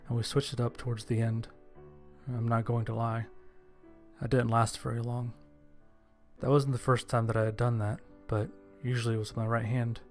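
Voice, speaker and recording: A man reading a scripted first-person story as narrator, in a measured, understated way. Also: mid-range voice, darkish tone. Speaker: narrator and voice actor. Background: background music.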